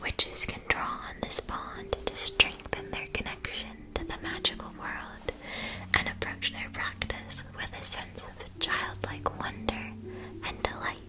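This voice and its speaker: A woman whispering an ASMR roleplay as a polite, attentive witch-school shopkeeper, explaining in measured, deliberate phrases. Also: natural voice, rough recording.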